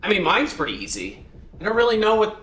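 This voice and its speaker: A man playing a tabletop roleplaying game, talking casually and in a rambling, animated way. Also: sits high, fast talker. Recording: roomy, distant mic, faint noise.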